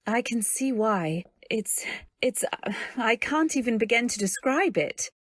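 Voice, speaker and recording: A woman playing a timid, earnest young wife who tells her tale in an old-time radio drama, gentle and polite. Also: a little high, animated, unhurried, no echo, studio quality. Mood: tentative. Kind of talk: old-time radio drama, first-person narration and dialogue.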